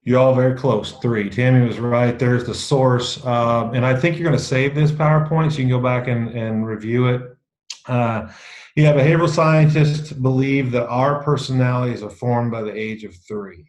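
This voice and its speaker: A middle-aged man with a low voice presenting a leadership course to a group in a meeting room, casual and dryly self-mocking, often restarting his sentences. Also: steady tone, moderate pace, darkish tone. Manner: dry self-mocking humor, frequent restarts. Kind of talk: casual teaching talk to a small group. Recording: echoey room.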